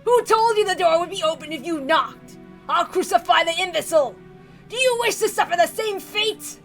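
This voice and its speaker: An aged, tyrannical patriarch from a visual novel voiced by a woman, raving and bombastic. The voice is pitched high and bellows with theatrical, drawn-out words, over faint music. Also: animated, a bit bright. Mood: enraged.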